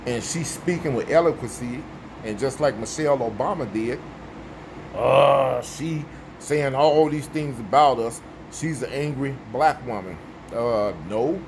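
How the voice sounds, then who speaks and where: A man with a baritone voice giving an emphatic, preachy opinion monologue, repeating his points for effect. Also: background noise. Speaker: vlogger.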